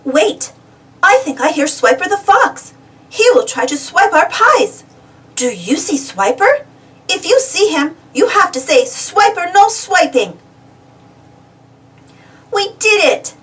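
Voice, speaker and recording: A woman's voice: very high-pitched, very animated, slow, long pauses, a bit bright, far from the mic. A woman reading a storybook aloud to young children, expressively and addressing the listeners directly. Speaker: storybook reader.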